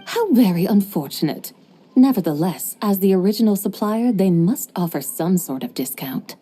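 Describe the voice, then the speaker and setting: A woman voicing a calm, formal female traveler in a video game, speaking in a measured and precise way. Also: mid-range voice, slightly rough. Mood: composed.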